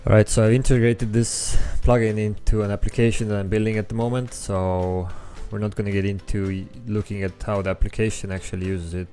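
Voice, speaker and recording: A young man with an Estonian accent, his first language Estonian, walks through a coding tutorial in a relaxed way, with frequent ums as he thinks aloud. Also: on the low side, fairly quick, few pauses. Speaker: app developer tutorial host. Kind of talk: screen-recorded coding tutorial. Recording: faint background music.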